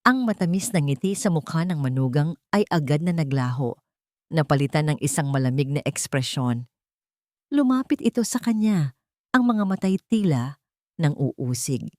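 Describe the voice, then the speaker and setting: A woman's voice: sits low, lively intonation, moderate pace, a little mellow, no room sound, clean studio sound. A woman with a Filipino accent reading a dramatic scripted story as its narrator.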